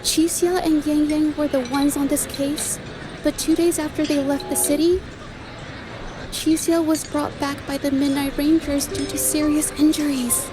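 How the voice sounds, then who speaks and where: Game role: anxious young girl, high and hesitant, earnest delivery, acted by a woman, over background music. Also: level tone, normal speed, lightly acted. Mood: worried. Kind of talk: anime-style game voice acting.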